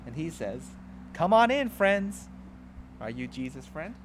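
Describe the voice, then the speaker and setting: A man's voice: on the high side, sing-song, measured pace, slight background noise. A man reading a children's storybook aloud as a pastor, expressively and dramatically, with simple emphatic phrasing and asides to young listeners.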